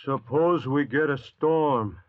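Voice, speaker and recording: An actor playing a suspicious cutthroat thief in a vintage radio drama, talking bluntly and grumbling. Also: male voice, mid-range voice, takes it slowly, close to an everyday voice. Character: gruff, suspicious cutthroat thief, an escaped convict. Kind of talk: 1940s radio drama acting. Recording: muffled vintage radio recording.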